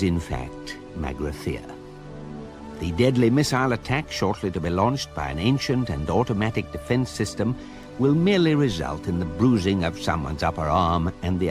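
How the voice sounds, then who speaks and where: A man with a British accent playing an urbane, deadpan guidebook narrator in a scripted radio comedy. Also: low voice. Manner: measured, deadpan, precise. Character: urbane, wry male narrator of a galactic guidebook.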